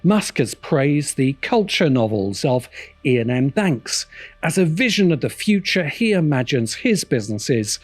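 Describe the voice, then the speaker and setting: A man's voice: a little high, very animated, measured pace, dry recording. A man delivering scripted polemical commentary as a video essay host, with a dramatic, scornful, emphatic manner. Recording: music bed behind voice. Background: background music.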